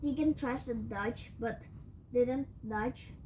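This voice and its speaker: Woman storyteller, high-ish and slow, voice tired and cracking, rambles and corrects herself as she goes. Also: mellow, a little hiss or hum, poor audio. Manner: rambling, with frequent self-corrections; clears throat often. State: voice tiring and cracking. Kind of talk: improvised fan-fiction retelling.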